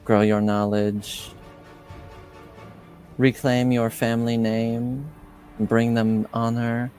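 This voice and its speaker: A man narrating a tabletop roleplaying game as game master, deliberate and halting, with many pauses between phrases. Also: mid-range voice, level tone, a little mellow, faint music behind.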